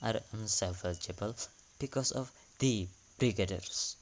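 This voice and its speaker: Brief: male recap narrator, low and unhurried, matter-of-fact delivery. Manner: plain, matter-of-fact, broken English. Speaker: channel narrator.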